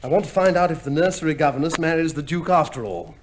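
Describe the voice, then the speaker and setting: An actor playing a smug, pompous army major and husband in a 1950s radio comedy, with a theatrical manner. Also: male voice, fairly high pitch, fast talker, slight background noise, natural voice. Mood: smug, bickering.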